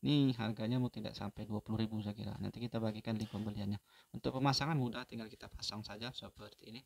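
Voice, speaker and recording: A man giving an unhurried, step-by-step phone repair tutorial, pausing often with fillers. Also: lowish voice, steady tone, vocal fry, slight background noise.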